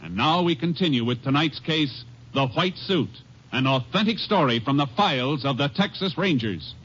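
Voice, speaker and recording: Male announcer for an old radio play, formal and measured, lo-fi broadcast sound. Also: a little high, lively intonation, fairly bright tone. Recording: dull lo-fi 1950s radio broadcast.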